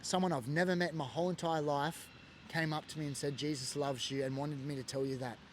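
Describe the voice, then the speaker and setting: A man's voice: fairly high pitch, fairly even intonation, quick pace, slight background noise. An Australian man from Sydney, telling a personal story in an earnest, emotional way with lots of fillers. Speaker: former biker sharing his story. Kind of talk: personal testimony monologue.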